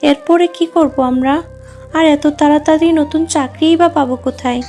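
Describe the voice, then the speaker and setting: A woman's voice: sits high, steady tone, normal speed, warm tone. A woman voicing a hardworking, worried animal housemaid mother in a children's cartoon, plaintive and outspoken. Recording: music under the voice.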